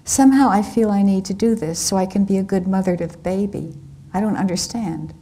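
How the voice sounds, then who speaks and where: A woman's voice: mid-range voice, fairly quick, mellow, close to an everyday voice. A woman reading the lines of an anguished young mother who speaks in an earnest, pleading way.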